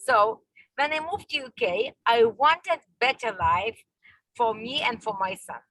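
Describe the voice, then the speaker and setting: A woman's voice: fairly high pitch, expressive, fairly bright tone, no echo, poor audio. Lithuanian woman in her sixties. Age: 60s. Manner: halting, searching for English words with many fillers. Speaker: guest. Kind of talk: online meeting talk. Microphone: video call.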